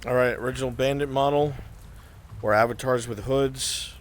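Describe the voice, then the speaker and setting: A man's voice: medium pitch, moderate pace, a little hiss or hum. A man working as game master of a live roleplay, narrating and directing players in a blunt, sweary way. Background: steady rain.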